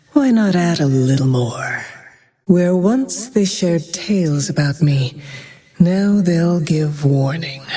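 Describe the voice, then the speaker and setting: Slow, breathy, vengeful huntress. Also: female voice, deep voice, mellow, big-room sound, heavily acted. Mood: cold menace. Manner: slow, menacing, icy, deliberate delivery. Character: cold vengeful female archer, menacing, haunted, sardonic. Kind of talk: video game character voice lines.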